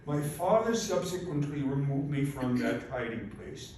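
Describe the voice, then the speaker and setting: A man's voice: mid-range voice, expressive, normal speed, darkish tone. Old guy in his 80s from poland, polish native speaker, giving a careful speech, super echoey room, bit of background hiss. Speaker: invited speaker, Holocaust survivor. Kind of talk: prepared commemorative speech, personal testimony. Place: large echoing room at a public event. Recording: very echoey hall, far off, faint noise.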